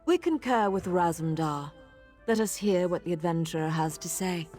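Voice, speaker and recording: A woman acts out a stately elf queen for a fantasy game. Her voice is on the low side, with lively movement in pitch, formal and commanding. Music plays softly underneath. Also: normal speed, natural voice. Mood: regal, questioning. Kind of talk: scripted video game dialogue.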